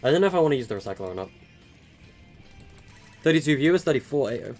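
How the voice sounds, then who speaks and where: A man's voice: medium pitch, big pitch swings, fast, a little mellow, faint music behind. An eighteen-year-old man streaming a game live, chatting loosely with viewers, with many fillers and stutters. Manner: rambling, with frequent fillers and stutters. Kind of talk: casual live-stream chat answering viewers.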